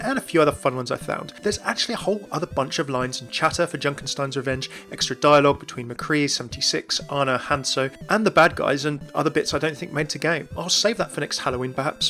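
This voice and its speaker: A man gives informal gaming commentary at a quick clip. His voice is bright and a little high, with light music underneath. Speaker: gaming video host. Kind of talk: informal scripted-sounding video commentary.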